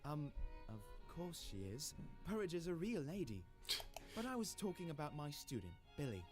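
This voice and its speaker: A man voicing an eccentric, gentlemanly teacher in a visual novel, genteel and fussy in manner. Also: fairly high pitch, big pitch swings, normal speed, faint music behind. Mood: polite. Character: eccentric, gentlemanly male teacher doting on his cat.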